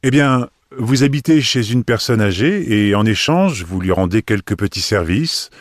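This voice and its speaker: A man's voice: on the low side, animated, moderate pace, no echo, clean studio sound, natural voice. A man playing a polite, helpful everyday character in a scripted language-exam dialogue.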